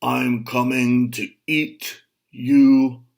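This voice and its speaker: A big, hungry storybook troll acted out by a man reading aloud roars in slow, thundering threats. The delivery is drawn-out and menacing, stretching the words for weight. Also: mid-range voice, level tone, gravelly, darkish tone. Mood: roaring, menacing.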